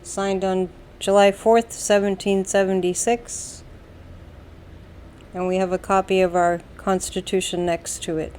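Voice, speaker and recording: A woman with an American accent leads a guided meditation, giving calm, soothing instructions in a deliberate way with long pauses. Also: medium pitch, a little hiss or hum. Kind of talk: slow guided meditation narration.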